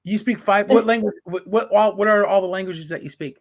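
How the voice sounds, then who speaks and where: Man, higher-pitched voice, casual interviewer, conversational questions. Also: fairly quick. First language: English.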